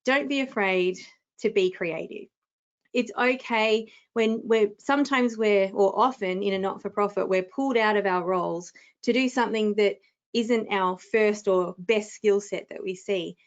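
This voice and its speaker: Woman, webinar host, encouraging presenter, mid pitch, lo-fi video call sound. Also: measured pace. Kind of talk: presenting and interviewing. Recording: dull lo-fi video call audio.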